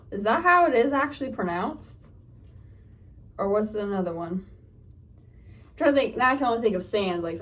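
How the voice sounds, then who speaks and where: A young woman from Northern California with a Californian accent, chatting casually in a get-ready-with-me vlog. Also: medium pitch. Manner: rambling, chatty, lots of fillers. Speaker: lifestyle vlogger. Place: home, getting ready. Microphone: phone camera. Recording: voice a bit off-mic, from a distance.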